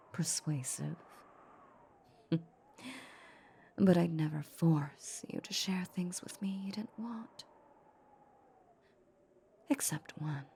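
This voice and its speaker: A woman playing a possessive, seductive vampire in a roleplay audio drama, teasing her captive with menacing sweetness in a breathy near-whisper. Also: deep voice, mellow, slight background noise, full character voice.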